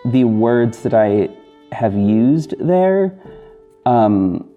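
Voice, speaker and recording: A man hosting a podcast, talking casually and apologetically to listeners in a halting, self-correcting, rambling way. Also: medium pitch, very animated. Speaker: podcast host and audio drama creator. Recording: dull lo-fi recording with music bed. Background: soft guitar backing music.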